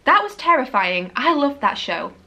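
Bright, chatty northern English woman. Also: high voice, moderate pace. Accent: Lincolnshire English with Yorkshire. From Yorkshire, England. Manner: animated, laughs often, swears casually. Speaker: book vlogger. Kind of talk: casual chatty vlog. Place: living room with bookshelves, indoors.

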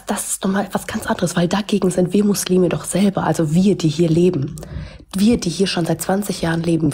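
A woman's voice: lowish voice, a little mellow, husky. A woman calling into a live debate, asking earnest questions with many hesitations. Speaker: caller.

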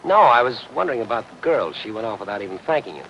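An actor playing an inquisitive, dryly persistent middle-aged newspaper reporter in a 1950s television crime drama. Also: male voice, fairly low pitch, very animated, rapid-fire, muffled recording. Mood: curious, insistent. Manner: questioning, dry, probing. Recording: noisy old 1950s film soundtrack.

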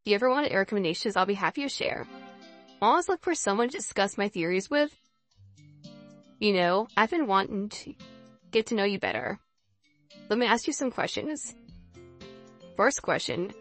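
A woman voicing a polite, thoughtful, inquisitive young engineer in a visual novel, with formal, measured phrasing. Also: mid-range voice, sing-song, fairly bright tone, soft background music. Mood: pleasant, curious. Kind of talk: visual novel character dialogue.

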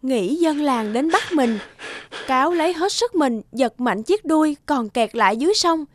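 A woman's voice: sits high, lively intonation, moderate pace, no echo. A woman reading scripted fairy-tale narration as a storyteller.

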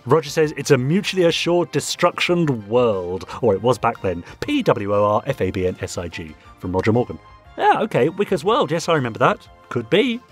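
Animated, husky man on a podcast. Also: mid-range voice, fairly quick, soft background music. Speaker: podcast co-host. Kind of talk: casual podcast chat, reading listener emails.

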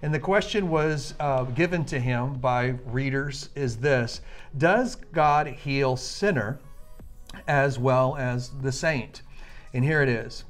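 Enthusiastic male preacher, faint music. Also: mid-range voice. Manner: enthusiastic, reads passages slowly and emphatically. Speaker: minister. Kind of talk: preaching with a Bible reading.

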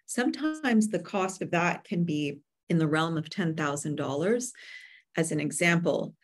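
Lower-pitched woman explaining publishing expertise. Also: lively intonation, a little slow. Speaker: guest expert, hybrid publisher. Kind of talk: webinar interview answers. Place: room with bookshelves at home or office, indoors. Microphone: computer video call.

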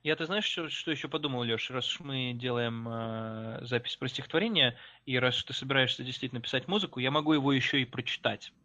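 A man's voice: medium pitch, quick pace, hardly any pauses, sharp tone. A young man, a poet, chatting on a video call with a headset mic; he says his voice is sick and mocks himself for loving to talk. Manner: self-mocking, talkative. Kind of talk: casual video call chat. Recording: dull lo-fi video call audio.

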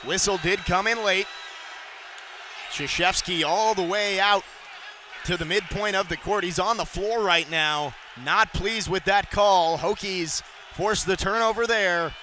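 Young man calling basketball play-by-play, excited. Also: high-pitched, a little hiss or hum. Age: young adult. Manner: rapid excited calls, shouting on big plays. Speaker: play-by-play announcer.

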